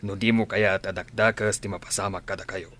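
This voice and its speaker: A tough commanding cop in a radio play, lowish voice. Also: male voice, steady tone, fairly quick, a bit bright, a little hiss or hum. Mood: stern, threatening. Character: stern, threatening male police officer. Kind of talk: radio drama acting.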